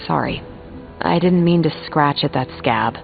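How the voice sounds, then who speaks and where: A woman's voice: low voice, a little slow, vocal fry. A woman playing a frank, sardonic superheroine in a video game, with blunt, colloquial delivery. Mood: candid. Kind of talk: video game character dialogue. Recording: thin phone-like sound, music behind.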